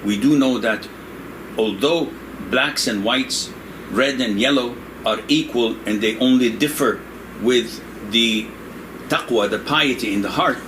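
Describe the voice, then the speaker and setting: An older man originally from Indonesia, a religious scholar answering questions in a relaxed, joking, digressive way, laughing often. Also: medium pitch, a little slow. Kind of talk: conversational religious discussion. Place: room in Saudi Arabia. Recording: noisy room with air conditioning hum.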